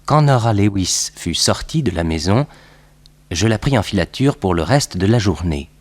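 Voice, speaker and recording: An actor playing a detective's composed sidekick, recounting the case as narrator of a 1960s French radio crime serial. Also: male voice, fairly low pitch, animated, a little hiss or hum, lightly acted. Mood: composed narration. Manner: measured, storytelling.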